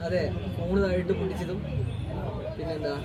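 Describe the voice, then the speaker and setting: A woman's voice: on the low side, flat delivery, moderate pace. A young woman chatting casually in a group of friends. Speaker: friend of the host. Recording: loud outdoor crowd and street noise.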